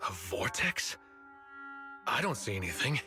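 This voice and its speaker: A man's voice: fairly low pitch, big pitch swings, unhurried. An actor playing a cool, level-headed man on a video game team, matter-of-fact in manner. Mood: puzzled. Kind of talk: English video game voice acting. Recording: faint music behind.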